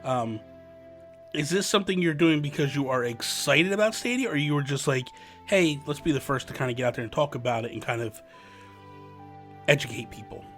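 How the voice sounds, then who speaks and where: A man's voice: on the high side, brisk, a bit bright, soft background music. A man hosting a podcast, chatting casually and rambling as he goes, laughing easily. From New Jersey. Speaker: podcast host.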